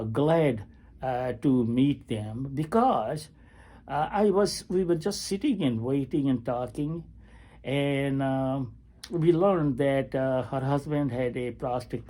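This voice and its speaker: A man in his eighties, a retired family physician, talking slowly and haltingly in a personal video diary from a hospital waiting area, with many pauses and fillers. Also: mid-range voice, warm tone. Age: 80s.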